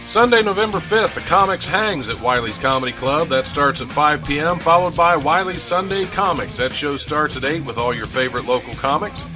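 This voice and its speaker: A man's voice: fairly high pitch, expressive, a little fast, few pauses, over music. An American man hosting a radio show with casual, rambling, self-deprecating comedy talk. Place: studio.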